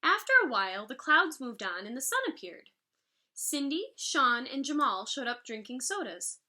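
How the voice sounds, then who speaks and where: A woman reads a children's picture book aloud in a fairly high, very bright voice. Her delivery is expressive and instructive, like a music teacher guiding young pupils through the story. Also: moderate pace. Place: living room at home, indoors. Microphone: device mic about arm's length away.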